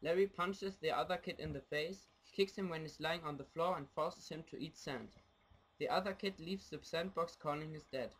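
A man's voice: a little high, normal speed, fairly bright tone, husky, poor audio. A young man from Germany giving scripted narration for an amateur radio play.

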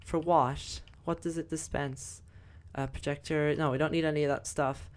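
Croaky-voiced woman rambling over gameplay. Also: sits low, steady tone, normal speed. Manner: chatty, rambling, many fillers. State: croaky, voice recovering. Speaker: gaming video host. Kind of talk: casual gameplay commentary.

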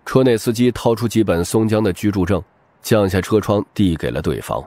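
Deep-voiced man narrating an audiobook. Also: lively intonation, fairly quick, dark tone, dry recording. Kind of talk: scripted novel narration.

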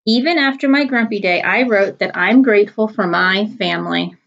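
A woman's voice: mid-range voice, normal speed, vocal fry, a bit bright. A woman reading a storybook aloud, voicing a young schoolboy who gets grumpy and whiny. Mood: earnest. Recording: dull lo-fi recording.

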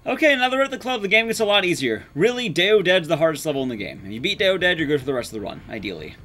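A man's voice: fairly high pitch, big pitch swings, rapid-fire, pushed and bright. A young man giving casual, wry gameplay commentary to his chat, informal and sweary. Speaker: streamer, speedrunner.

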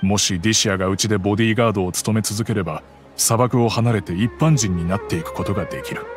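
An actor playing a gentle, regretful middle-aged merchant father who calmly recounts the past in a game cutscene. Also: on the low side, fast talker, soft background music, natural voice. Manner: calm, reflective storytelling.

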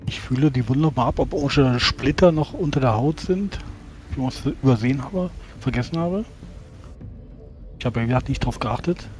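A man playing a tabletop role-playing game in character, speaking in measured, formal words with hesitant pauses. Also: medium pitch, a little mellow, faint music behind.

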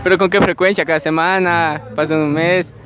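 A man's voice: a little high, steady tone, normal speed, background noise. A young man with an Ecuadorian Spanish accent, asking teasing questions in a casual street interview. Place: outdoor city street. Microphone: phone held out as a mic. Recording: music playing over the street sound.

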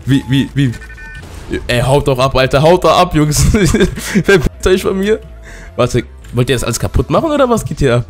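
Hoarse, excitable German-speaking man gamer. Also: fairly high pitch, normal speed, faint music behind. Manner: excitable, loud outbursts, keeps addressing viewers. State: hoarse from a cold. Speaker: gaming YouTuber. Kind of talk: excited let's-play commentary.

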